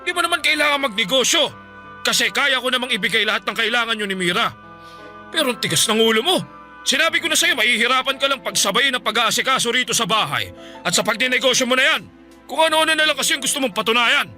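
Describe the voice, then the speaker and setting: An actor playing an irritable husband in a radio drama, speaking curtly and defensively. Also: male voice, very high-pitched, animated, quick pace, sharp tone, soft background music, exaggerated voice. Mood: annoyed. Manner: curt, dismissive, defensive. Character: irritable husband dodging his wife. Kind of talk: Filipino radio drama acting.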